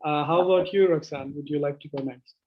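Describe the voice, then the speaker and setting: A man's voice: fairly high pitch, steady tone, brisk, muffled recording, slight background noise. A man hosting an online workshop, calling on participants to introduce themselves in a friendly way. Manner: friendly, inviting, speaks in short prompts. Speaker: workshop host. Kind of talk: casual online meeting. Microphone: video call.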